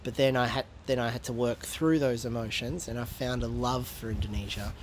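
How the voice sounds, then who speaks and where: A man's voice: mid-range voice, fairly even intonation, brisk, a little hiss or hum. A man talking animatedly as a podcast guest, stumbling and restarting often and slipping into Indonesian. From Australia. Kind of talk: casual interview chat.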